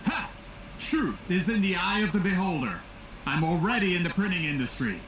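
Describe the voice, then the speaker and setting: Casting: pompous, scornful game villain, a greedy merchant; high voice, roomy distant sound over music. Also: male voice, big pitch swings, moderate pace, fairly bright tone, slight background noise. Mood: smug, scheming. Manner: pompous, theatrical, disdainful. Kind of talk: video game voice acting.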